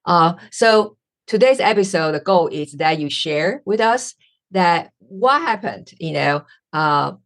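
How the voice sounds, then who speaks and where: A woman's voice: fairly low pitch, expressive, takes it slowly. A woman from Taiwan, hosting an interview podcast and searching for her words as she speaks. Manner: searching for words, with many fillers. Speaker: podcast host.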